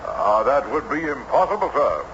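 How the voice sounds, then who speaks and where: A man playing a courteous, world-weary traveling gentleman who speaks formally and deliberately in an old-time radio drama. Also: medium pitch, very animated, lo-fi sound. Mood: grave recounting. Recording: noisy, band-limited old radio broadcast.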